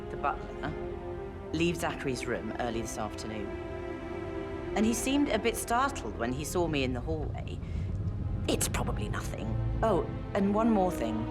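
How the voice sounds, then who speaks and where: An actress playing a brisk, gossipy upper-class British businesswoman in a video game, wry and articulate. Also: deep voice. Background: background music.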